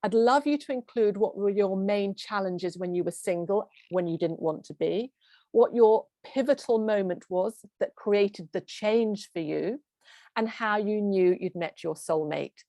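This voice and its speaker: A woman hosting an online summit, introducing her guest with enthusiasm and asking warm, effusive questions. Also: medium pitch, unhurried, no echo. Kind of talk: hosted online interview.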